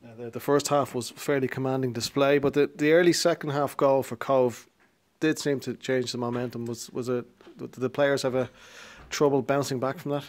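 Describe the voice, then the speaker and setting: A man in a club TV interview, his voice sitting at a middle pitch. He puts polite, measured questions into a handheld mic, now and then restarting a phrase. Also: steady tone. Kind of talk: post-match interview questions.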